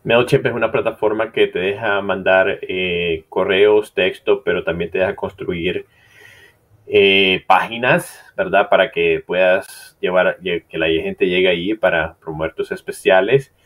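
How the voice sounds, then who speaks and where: A man's voice: low-pitched, steady tone, measured pace, muffled recording. A middle-aged man casually walking through a screen-share tutorial on social media marketing, chatty and loose, with many fillers and restarts. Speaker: marketing instructor.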